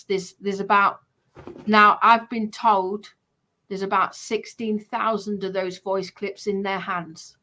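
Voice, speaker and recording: A woman's voice: mid-range voice, sharp tone. Woman streamer chatting, mid-range voice. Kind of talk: casual chat.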